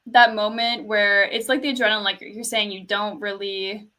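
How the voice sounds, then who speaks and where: Soft-spoken young woman, bright voice. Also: mid-range voice, flat delivery, moderate pace. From Canada. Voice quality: fairly quiet speaking voice. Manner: storytelling, laughs often, says "like" a lot. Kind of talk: casual chat.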